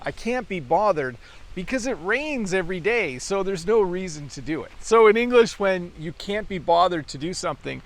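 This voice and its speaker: A middle-aged Canadian man with a Canadian accent explains English phrases in an easygoing, friendly way, dropping in casual fillers. His voice sits a little high and has a gravelly edge. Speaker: English teacher. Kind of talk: English lesson.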